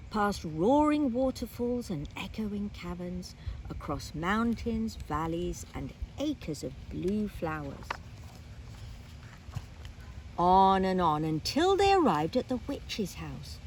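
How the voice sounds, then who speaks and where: A woman narrating a children's story aloud in an expressive, dramatic style with deliberate phrasing. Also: fairly low pitch, a little hiss or hum, low-quality recording.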